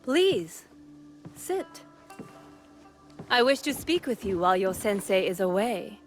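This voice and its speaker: A woman playing a poised, shrewd noblewoman in a game, composed, deliberate and authoritative. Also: medium pitch, big pitch swings, soft background music. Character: poised, commanding noblewoman, politically shrewd lord's wife. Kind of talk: formal dramatic game cutscene acting.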